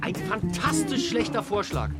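A droll little animal crew member, cheeky and quirky, with a very lively pitch. The children's audio drama has loud music behind the lines. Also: female voice, a little slow. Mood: droll, playful. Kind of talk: children's radio play acting.

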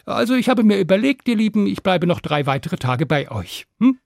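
A man's voice: sits high, big pitch swings, brisk, gravelly, dry recording, studio quality, voice pushed up, heavily acted. A man voicing a crafty, bluffing peasant hero in a fairy tale, breezy, mock-modest and teasing. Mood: cocky.

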